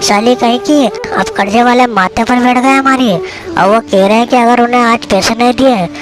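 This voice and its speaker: Woman voicing a high-pitched flustered village father. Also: normal speed, close to an everyday voice. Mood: scolding, worried. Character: scheming, flustered middle-aged village father, debt-ridden. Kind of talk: cartoon story dialogue. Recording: music behind the voice.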